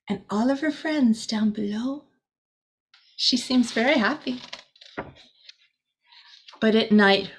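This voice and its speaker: A middle-aged woman reads a storybook aloud for children. The pace is slow and deliberate and the pitch is average for a woman. She reads expressively, like a teacher, adding short explanations. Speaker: volunteer teacher reading to children. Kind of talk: storybook read-aloud with asides. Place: small room indoors.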